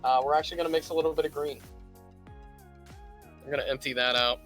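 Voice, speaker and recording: A man's voice: fairly high pitch, fairly even intonation, fast talker, a bit bright, soft background music. A man hosting a casual live painting tutorial, thinking aloud and rambling as he works. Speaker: livestream host teaching miniature painting.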